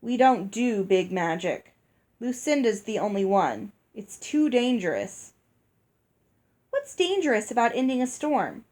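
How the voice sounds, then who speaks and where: A woman reading aloud and voicing a gruff older fairy woman who talks bluntly and scolds. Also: on the high side, very animated, unhurried, darkish tone. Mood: brusque. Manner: brusque, scolding. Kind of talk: read-aloud storybook dialogue.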